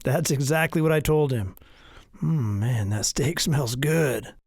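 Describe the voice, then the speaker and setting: A man's voice: mid-range voice, measured pace, gravelly, a little mellow, breathy edge, dry recording, studio quality. A man playing an easygoing, chatty young ranch hand in an audio drama, casual and folksy. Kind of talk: full-cast audio drama acting.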